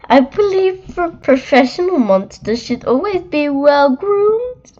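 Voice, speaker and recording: A woman's voice: high voice, expressive, takes it slowly, darkish tone, lo-fi sound, voice pushed up. A woman voicing a polite, prim, well-groomed male monster with a formal, fussy manner in a read-aloud storybook.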